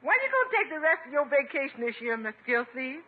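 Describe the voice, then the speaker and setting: Good-natured household cook, high lively voice, old radio comedy, narrowband recording. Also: female voice, quick pace. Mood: polite, chatty. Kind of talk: 1950s radio sitcom acting.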